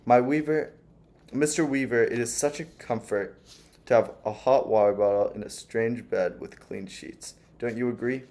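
A man's voice: medium pitch, moderate pace, mellow, natural voice. A man voicing a gushing, fussy older landlady who is sweetly sinister, with a doting, sugary manner.

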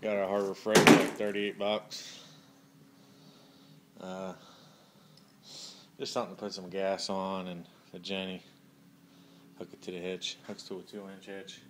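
Casual, plain-spoken man, a bit low, doing a rambling outdoor phone review. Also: level tone, a little slow, long pauses, noisy background. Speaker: product reviewer. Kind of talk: casual product review vlog. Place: outdoors by a van on pavement.

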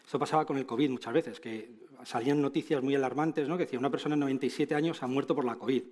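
Middle-aged male conference speaker, Madrid Castilian accent, mid-pitched, informal and wry, checking in with tag questions. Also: steady tone, very fast, darkish tone. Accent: Castilian Spanish from Madrid. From Spain. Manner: conversational, frequent tag questions, wry asides. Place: large auditorium stage, indoors.

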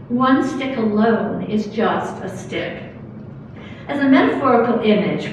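An older woman reading a prepared academic lecture from her notes at a lectern, in a measured way. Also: fairly high pitch, noisy background. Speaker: academic speaker. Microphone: lectern microphone. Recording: echoey hall, camera far off.

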